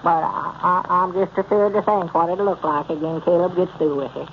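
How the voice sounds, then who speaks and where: A man playing a slow, folksy rural storekeeper with a drawling country way of talking, in a 1930s radio comedy. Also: sits high, steady tone, slight background noise. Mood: puzzled. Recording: muffled old radio transcription.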